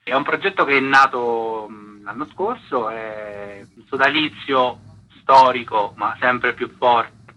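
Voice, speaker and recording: A man's voice: mid-range voice, pushed and bright, low-quality recording. A man answering interview questions as an artistic director, explaining his project. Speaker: interview guest, theatre festival artistic director.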